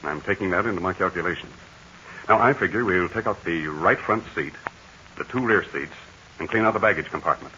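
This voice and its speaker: An actor playing a brave, steady aviator hero in a 1940s radio serial, talking in a calm, assured and commanding way. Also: male voice, deep voice, brisk, slight background noise. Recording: dull lo-fi 1940 radio transcription.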